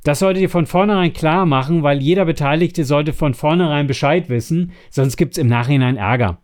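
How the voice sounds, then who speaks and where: A man's voice: a little high, darkish tone. A man co-hosts a podcast. He chats casually and offers practical tips, often restarting words as he goes. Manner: halting, stammering over word starts. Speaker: podcast co-host.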